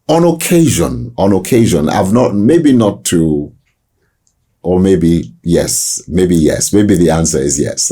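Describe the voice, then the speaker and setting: A man who works as a voice-over artist with a deep voice, answering interview questions in a reflective way, often restarting his sentences. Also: mellow, husky. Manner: reflective, hesitant restarts, slow emphasis.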